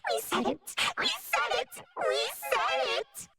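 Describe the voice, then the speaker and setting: A woman's voice: big pitch swings, takes it slowly, soft background music, full character voice. A woman voicing an unhinged plant spirit servant in a video game, theatrical, between mournful and manic. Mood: giddy, frantic. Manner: theatrical, swings between hushed grief and shrill giddiness. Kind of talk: dramatic game voice acting.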